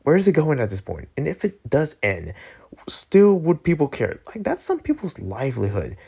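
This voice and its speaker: A man hosting a commentary show, giving off-the-cuff opinions in an informal, slangy, halting way. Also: on the high side, wildly swooping pitch, vocal fry, mellow, slightly rough. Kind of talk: casual rambling opinion commentary.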